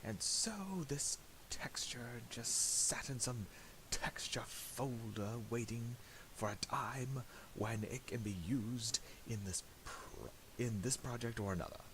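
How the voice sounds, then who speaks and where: A man presenting a casual software tutorial in a halting, mumbled way, on a hissy home recording with clicks. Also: medium pitch, low-quality recording.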